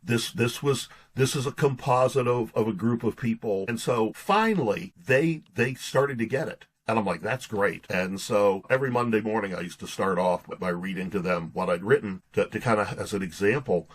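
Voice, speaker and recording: Husky, low-voiced American man telling stories. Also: moderate pace, hardly any pauses. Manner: earnest, anecdotal, acting out quoted dialogue. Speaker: interview guest, author and pastor. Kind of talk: conversational personal storytelling.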